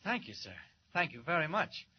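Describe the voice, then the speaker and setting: An actor playing a polite, eager young reporter in a 1940s radio drama, deferential in manner. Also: male voice, fairly high pitch, very animated, moderate pace. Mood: polite, knowing. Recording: muffled noisy old radio recording.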